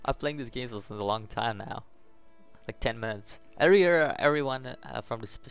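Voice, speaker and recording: A man's voice: mid-range voice, creaky, smooth. A man hosting a gaming video, reading story text aloud with stumbles and adding reactive commentary, faint music behind. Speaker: gaming channel host. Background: faint game music.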